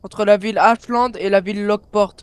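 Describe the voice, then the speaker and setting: A man's voice: high-pitched, steady tone, moderate pace, a loud crowd. A man improvising hesitantly in a game roleplay as a police squad survivor talking over the radio. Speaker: player in a zombie survival roleplay.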